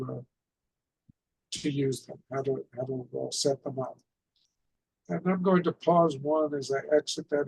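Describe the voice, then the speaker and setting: A man giving an informal instructional presentation as a radio net host, explaining in a halting way with repeated phrases. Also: a little high, gravelly, darkish tone, breathy edge, dry recording, rough recording.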